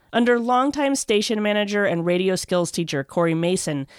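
A woman's voice: medium pitch, no room sound, studio quality. A woman reporter reading scripted narration for a radio feature. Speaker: education reporter and podcast host.

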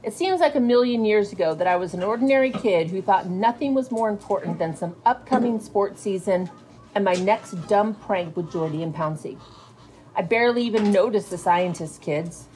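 A woman's voice: mid-range voice, brisk, a little mellow, poor audio. A middle-aged American woman, a schoolteacher, explaining things and reading aloud. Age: middle-aged or older. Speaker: fifth grade teacher. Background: music.

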